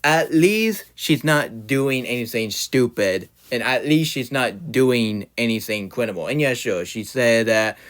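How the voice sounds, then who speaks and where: A man's voice: lowish voice, fairly bright tone, smooth. A young man ranting angrily at another online creator, stuttering, restarting and repeating words as he scolds him directly. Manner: scolding, addresses the target directly. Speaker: YouTube ranter. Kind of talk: angry rant to camera.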